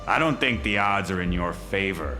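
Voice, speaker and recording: An actor playing a hulking, mocking turncoat villain in a dark audio drama, taunting and cold in his delivery. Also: low-pitched, animated, moderate pace. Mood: cold, resolute. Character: menacing, mocking hulking male turncoat, superhumanly strong. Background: music.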